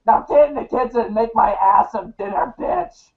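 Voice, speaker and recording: A man's voice: very high voice, moderate pace, gravelly. A man playing an abrasive, profane bully who barks crude insults in an amateur read-along drama. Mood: mocking contempt. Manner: crude, taunting, sweary. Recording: thin phone-like sound.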